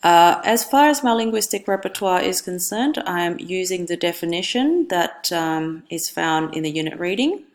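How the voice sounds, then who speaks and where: A young woman whose first language is Indonesian, giving a careful, measured class presentation on her coursework, with frequent ums and small self-corrections. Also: on the low side, expressive. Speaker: student presenting an assignment.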